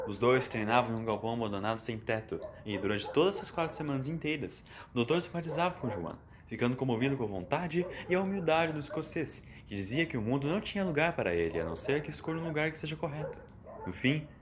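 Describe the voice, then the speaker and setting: A man with a Brazilian accent reading a scripted story as its narrator, in Portuguese storytelling style. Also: a little high. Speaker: narrator of an audio fan story. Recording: voice over background music. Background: music.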